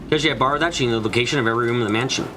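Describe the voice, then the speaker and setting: A man's voice: mid-range voice, rapid-fire, background noise. A man reading dramatic scripted narration of a story, as in a fan dub of a visual novel. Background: background music.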